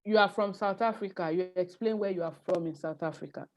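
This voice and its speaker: A woman's voice: fairly low pitch, fairly quick. A woman from Nigeria teaching an online IELTS class, firm and instructive, calling on students and checking they understand. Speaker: IELTS tutor. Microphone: video call. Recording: muffled video call audio.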